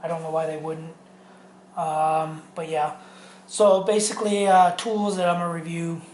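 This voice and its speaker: A man's voice: a little high, level tone, normal speed, darkish tone, slight background noise. A middle-aged man reviewing a power tool in his home garage workshop, rambling loosely with many ums and restarts. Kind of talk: casual tool review vlog.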